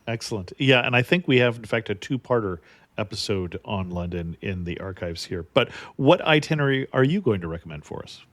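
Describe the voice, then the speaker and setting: A man's voice: lowish voice, animated, fairly quick, no echo. A man hosting a travel interview podcast, asking his guest short, friendly questions. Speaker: podcast host. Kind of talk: casual interview.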